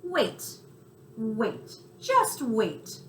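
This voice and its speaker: A woman voicing an excitable, generous male mouse for a children's story, speaking in short, eager, exclamatory bursts. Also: on the high side, drawn-out words, reverberant, a little hiss or hum, full character voice. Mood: excited interrupting.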